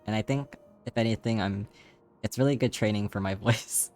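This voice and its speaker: Man, streamer, slightly raspy, low voice, rambling casual chat. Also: moderate pace, a little mellow, soft background music. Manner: rambling, many fillers, laughs often. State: voice tired from streaming.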